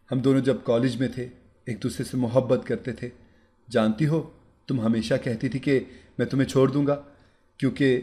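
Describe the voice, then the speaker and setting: A man voicing a calm young husband who speaks softly and patiently in an audio story. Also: medium pitch, close to an everyday voice. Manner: soft, patient.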